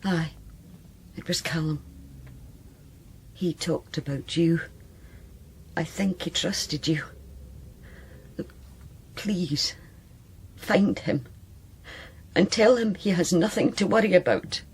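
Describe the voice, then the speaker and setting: An actress playing a brittle, anguished sister in a radio drama, with a Scottish accent and a halting, agitated, bitter delivery. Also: female voice, fairly low pitch, darkish tone, slightly rough, full character voice. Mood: pleading. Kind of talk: naturalistic radio drama acting.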